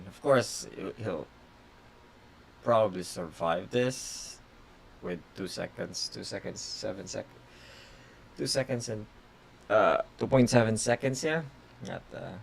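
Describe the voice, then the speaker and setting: A chess grandmaster whose first language is Tagalog, streaming blitz games and thinking aloud in short, halting phrases full of fillers. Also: male voice, mid-range voice, creaky, a little hiss or hum. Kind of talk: casual live-stream commentary.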